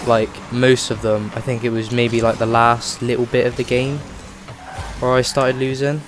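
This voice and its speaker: A man hosting a gaming channel, chatting casually over his gameplay and rambling with lots of fillers, his voice rough from a cold. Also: mid-range voice, level tone, normal speed, music in the background.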